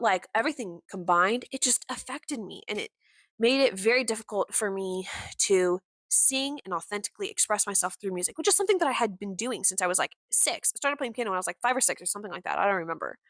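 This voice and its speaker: Candid young woman with a warm, deeper voice. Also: animated, quick pace, dry recording. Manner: candid and impassioned, with frequent "like" fillers and mild swearing. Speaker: podcast host. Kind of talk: casual personal monologue.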